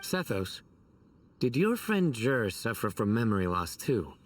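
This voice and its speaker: A low, terse investigator. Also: male voice, big pitch swings, measured pace, recorded from a distance. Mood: serious. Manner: terse, serious.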